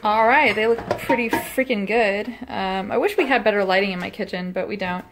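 A woman in her thirties chatting casually as she shows a recipe in her small home kitchen, self-deprecating and quick to laugh, recorded on a phone camera held close. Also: medium pitch, background noise. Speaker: cooking vlogger. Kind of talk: casual cooking vlog chat.

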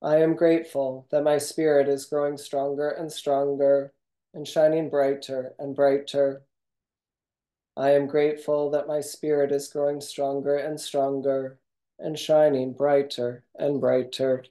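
Yoga nidra guide, calm and instructional, deeply relaxed tone with little pitch movement, reading a script in measured phrases.

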